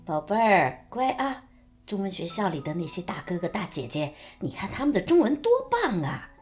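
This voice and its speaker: Woman playing a coaxing, animated young girl's mother. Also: medium pitch, moderate pace, soft background music, natural voice. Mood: gentle, encouraging. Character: gentle, coaxing, proud mother of a young girl. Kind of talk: radio drama acting.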